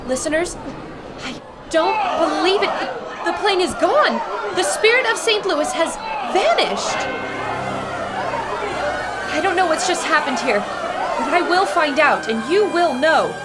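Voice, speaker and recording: A woman's voice: very high voice, very animated, takes it slowly, pauses often, a loud crowd, full character voice. A woman playing an intrepid, determined 1920s radio reporter in an old-time audio drama, with a proclaiming, plucky delivery. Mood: alarmed, urgent. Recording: noisy ambience behind the voice.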